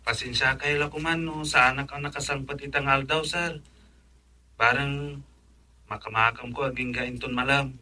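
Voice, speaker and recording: An actor playing a deferential, eager underling in an Ilocano radio drama. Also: male voice, mid-range voice, fairly even intonation, normal speed, sharp tone. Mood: apologetic, eager. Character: deferential, eager male underling addressing his boss.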